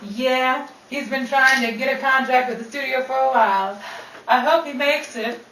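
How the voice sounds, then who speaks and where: A woman's voice: high-pitched, moderate pace, fairly bright tone. A woman playing a sassy, hard-drinking, wisecracking dame in a 1930s-style radio comedy. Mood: brisk, pleased. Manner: wisecracking, theatrical. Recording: roomy, distant-mic recording with faint noise.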